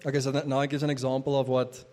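A man's voice: mid-range voice, little pitch movement, rapid-fire, darkish tone. A young adult man whose first language is Afrikaans, preaching a casual sermon as pastor, with many fillers and restarts.

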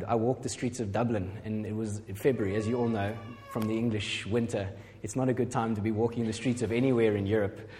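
A man's voice: lowish voice, warm tone. A middle-aged man telling a personal testimony as a pastor, rambling and self-deprecating, with many fillers. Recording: hall reverb.

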